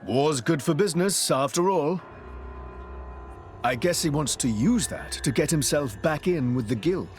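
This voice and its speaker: A man with a British accent playing a brash, blunt, colloquial young adventurer in a video game, his voice husky. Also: fairly high pitch, animated, unhurried, soft background music. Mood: wry.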